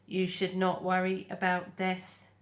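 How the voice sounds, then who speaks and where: A woman voicing a gentle robot mother in a storybook, speaking in a calm, measured and formal manner. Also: on the low side, close to an everyday voice. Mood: calm, reassuring.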